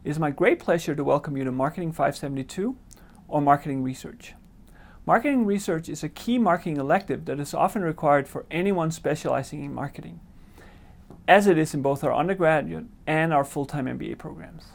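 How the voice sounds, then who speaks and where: A man from Denmark with a Danish accent, a marketing professor giving a prepared course introduction in a measured, explanatory way. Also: a little high, expressive, gravelly.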